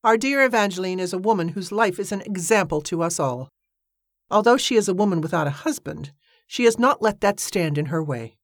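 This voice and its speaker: Brisk, pompous lady promoter with a platform manner, voiced by a woman. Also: mid-range voice, dry recording, clean studio sound, close to an everyday voice. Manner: formal, showy speechmaking.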